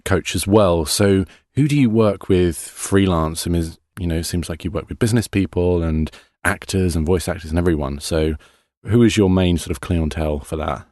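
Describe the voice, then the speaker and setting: Very deep-voiced guy interviewing on a podcast, dry close mic, lots of yeahs. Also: moderate pace, few pauses, a little mellow, no room sound. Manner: encouraging, frequent short affirmations and laughs. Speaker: podcast host. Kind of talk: interviewing a guest.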